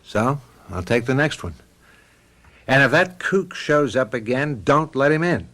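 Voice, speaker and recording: An actor playing a brash, irritable advertising copywriter in a radio drama, blunt and insistent. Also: male voice, mid-range voice, lively intonation, unhurried, a bit bright. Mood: gruff, dismissive.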